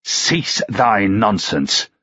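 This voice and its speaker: A man's voice: medium pitch, sing-song, moderate pace, a bit bright, husky, no echo, dull audio, pitched above the performer's own voice. A man voicing a haughty medieval duke. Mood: indignant. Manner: stern, commanding, old-fashioned speech.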